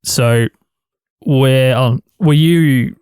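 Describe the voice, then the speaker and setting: Aussie podcast host, mid voice, dry studio, chatty and rambling. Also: male voice, mid-range voice, drawn-out words, a little mellow, smooth, no room sound. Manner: friendly, rambling, self-deprecating. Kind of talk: casual interview. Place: radio studio.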